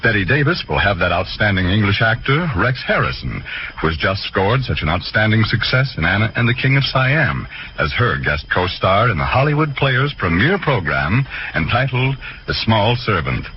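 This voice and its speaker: A man's voice: fairly low pitch, very animated, normal speed, background noise. An American man announcing formally and promotionally in scripted 1940s radio style. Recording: muffled 1940s radio broadcast, music behind.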